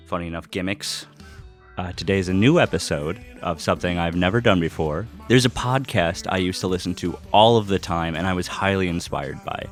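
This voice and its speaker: A man hosting a personal vlog in casual, unscripted talk, rambling and often cutting himself off. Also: deep voice. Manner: rambling, self-interrupting. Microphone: close personal microphone.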